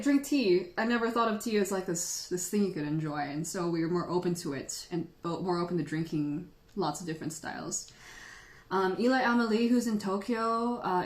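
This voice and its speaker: Woman tea host from the Philippines, chatty and giggly, roomy echo around her, everyday pitch. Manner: chatty and playful, laughs often. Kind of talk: casual livestream chat answering viewers. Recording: echoey room.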